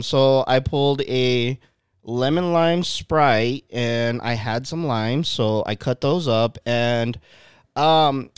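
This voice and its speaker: A man's voice: medium pitch, smooth, no echo, studio quality. A man co-hosting a podcast from home in loose, rambling casual chat, swearing and filling with ums. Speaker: podcast co-host.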